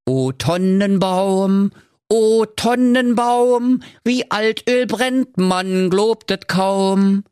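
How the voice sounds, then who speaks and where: A performer voicing a little boy with a Berlin accent, singing comic Christmas carols slowly and fervently. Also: mid-range voice, a little mellow, clean tone, no room sound, studio quality, pitched above the performer's own voice. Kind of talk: slow parody carol singing.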